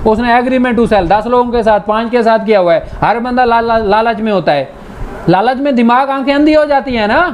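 A man's voice: very high voice, darkish tone, slight background noise. A man with a Pakistani accent giving an informal law lecture, explaining in a conversational way and mixing in English legal terms. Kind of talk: informal lecture explaining statute articles with examples.

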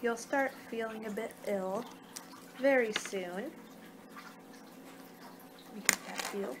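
A young woman doing an ASMR card-reading role-play with a halting, unhurried delivery. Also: fairly high pitch. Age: young adult. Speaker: ASMR performer in a fortune-teller role-play. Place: small home room, indoors. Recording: noisy amateur webcam-style room recording.

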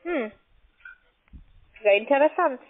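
High-pitched woman on the phone. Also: expressive, takes it slowly. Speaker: call centre sales agent. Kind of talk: unscripted sales phone call. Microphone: telephone. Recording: muffled tinny phone line.

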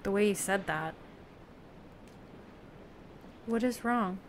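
A woman's voice: mid-range voice, normal speed, a little hiss or hum, lightly acted. A woman playing a solitary raft dweller on an ocean world in an audio play, plain-spoken and questioning. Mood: worried.

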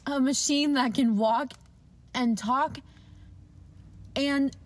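A woman's voice: high-pitched, steady tone, takes it slowly. A woman playing a polite, wide-eyed and hesitant doctor in 1940s radio drama style.

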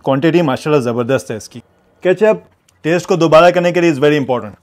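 A man's voice: fairly high pitch, fairly quick. A man in his thirties narrating a casual, enthusiastic food vlog, first walking through a mall food court, then in a hotel room. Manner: chatty, enthusiastic, mixes English phrases in. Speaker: food vlogger.

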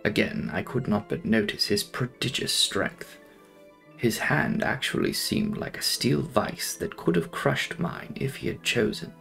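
Theatrical male narrator with creaky, swooping delivery. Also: on the low side, a bit bright. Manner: theatrical, deliberate, formal. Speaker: audiobook narrator. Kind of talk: dramatic reading of a gothic novel.

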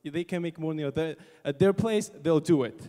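A man's voice: a little high, moderate pace, dark tone. Earnest man speaking in a big hall. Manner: earnest, emphatic. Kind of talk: earnest discussion. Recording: reverberant concert hall.

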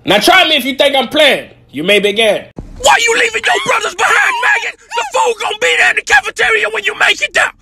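A man's voice: extremely high pitch, wildly swooping pitch, a little fast, long pauses, noisy background, full character voice. A man voicing a strict, threatening goose that barks orders like a teacher or drill sergeant in a comic animal voiceover. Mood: stern threatening. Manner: barking, commanding, stern.

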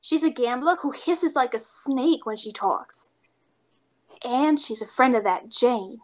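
A woman's voice: fairly high pitch, lively intonation, a little slow. A young woman playing a brash, lovesick chorus girl with slangy, melodramatic tough talk in 1940s radio comedy style. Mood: excitable, scheming. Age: young adult. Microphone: seated before camera on video call.

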